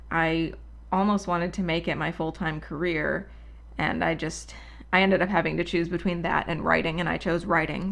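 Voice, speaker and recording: A woman hosting her own channel, talking unscripted and alone at her microphone in a soothing, relaxed, rambling and candid way. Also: lowish voice, vocal fry. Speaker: channel host. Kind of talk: unscripted casual monologue. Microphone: solo at her own microphone.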